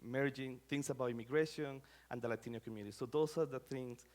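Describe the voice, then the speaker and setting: A man's voice: mid-range voice, fast, a little mellow. A middle-aged man from Rica, giving an academic talk in a conversational way with many fillers and phrasing. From Costa Rica. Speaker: scholar giving a lecture.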